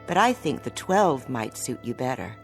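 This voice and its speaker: A woman's voice: rumbling low, big pitch swings, normal speed, soft background music, heavily acted. An actress playing a middle-aged mother in a radio drama, fussing and coaxing with motherly insistence.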